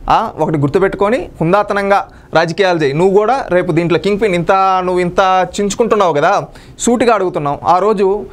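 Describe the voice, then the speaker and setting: A man with a high-pitched voice delivers political commentary at a quick clip. He speaks off the cuff in an accusatory, scolding way, throwing rhetorical questions at his opponents.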